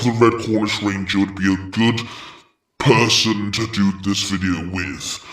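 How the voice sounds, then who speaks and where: A man's voice: fairly low pitch, fairly bright tone. A man playing a grandiose, gloating villain in a dramatic scripted monologue. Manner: menacing, grandiose, deliberate. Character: domineering, gloating male villain who hijacked a channel. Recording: echoey effect with music underneath.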